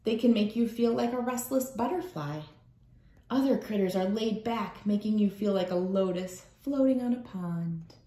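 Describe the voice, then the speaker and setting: A woman in her thirties reading a children's book aloud at home in a measured storytelling way. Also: mid-range voice, mellow. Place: small room at home, indoors. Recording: echoey room.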